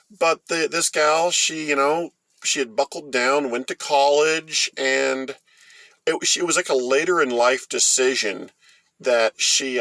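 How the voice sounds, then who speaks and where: A middle-aged American man tells a rambling personal story in a casual, folksy way. His delivery is halting and conversational, at an ordinary male pitch. The sound is dry, with very little room in it. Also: a bit bright, no room sound, low-quality recording. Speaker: host of a storytime vlog.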